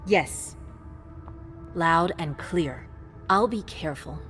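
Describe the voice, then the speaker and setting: A woman playing a guarded female vampire in a video game, speaking in a cool, pointed and deliberate way. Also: fairly low pitch, a bit bright. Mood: guarded, deferential. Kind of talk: dramatic video game acting. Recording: music behind.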